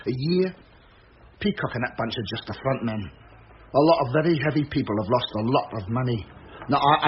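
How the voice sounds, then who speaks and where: An actor playing an embittered, defiant pub landlord in a British radio drama, blunt and sarcastic. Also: male voice, fairly high pitch, natural voice. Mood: bitter, sarcastic. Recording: muffled radio recording, faint noise.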